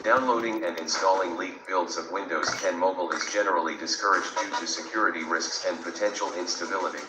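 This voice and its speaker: A man's voice: sits low, fast, few pauses, background noise. A text-to-speech male narrator reading out an info article, spelling web addresses letter by letter. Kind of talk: synthetic read-out narration. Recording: roomy with clear music behind.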